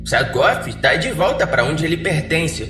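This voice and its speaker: Casting: male performer as condescending young otherworldly Brazilian girl for horror audiodrama, animated delivery, roomy distant sound over music. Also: fairly high pitch, a little slow, pitched above the performer's own voice. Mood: cool, impressed. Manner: scolding, condescending. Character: bossy, insulting young mystical girl in a robe. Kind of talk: Portuguese audiodrama acting. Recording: echoey, distant, music underneath.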